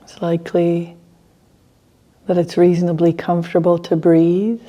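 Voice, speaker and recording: A woman teaching a guided meditation, speaking gently in short phrases with long pauses between them. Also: on the low side, monotone, muffled recording.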